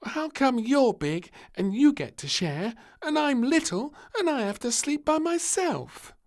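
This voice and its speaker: A man's voice: extremely high pitch, very animated, measured pace, voice pushed up, full character voice. A man voicing an indignant, stubborn little princess, a whiny and demanding small girl in a storybook.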